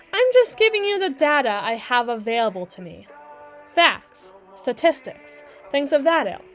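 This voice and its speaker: A brisk mermaid lawyer, acted by a woman, speaks in a lightly high voice with faint music behind her. She is articulate, condescending and dryly witty, delivering her scripted roleplay monologue like a lecture, with deliberate pauses for dramatic weight. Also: fairly bright tone. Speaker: audio roleplay performer. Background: background music.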